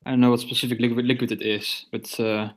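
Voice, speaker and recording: A man with a Dutch accent, talking haltingly in a casual online voice chat. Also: medium pitch, flat delivery. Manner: halting, many restarts. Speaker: call guest.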